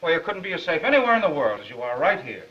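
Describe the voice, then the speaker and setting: A glib young American newspaperman in a 1930s comedy-drama, all cheerful bluff and eager patter. His pitch sits high and swings widely, bright and forceful, on a muffled old film soundtrack. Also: big pitch swings, rapid-fire. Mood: pleading, earnest.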